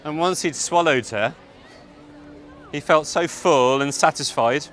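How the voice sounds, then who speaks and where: A man narrating a fairytale live to children, theatrical and deliberate, with dramatic pauses. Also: a little high, a bit bright, faint music behind. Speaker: storyteller narrator. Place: outdoor public event.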